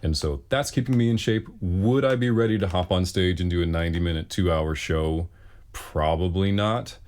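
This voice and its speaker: A man with a very deep bass voice, a singer casually answering questions with wry, self-deprecating humor. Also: normal speed, darkish tone. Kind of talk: casual Q and A talk.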